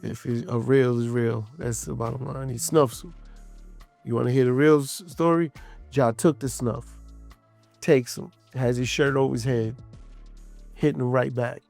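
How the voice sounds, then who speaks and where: A man's voice: mid-range voice, gravelly, a little mellow, no echo. A man casually recounting a past fight in an interview, emphatic and loose, acting out what people said. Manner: loose, emphatic, quotes people in his story.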